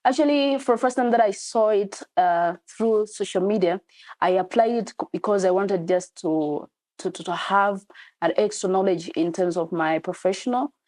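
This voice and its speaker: Young Tanzanian woman, journalist and event host, confident testimonial, medium pitch. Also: moderate pace, a little mellow. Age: young adult. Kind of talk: testimonial interview.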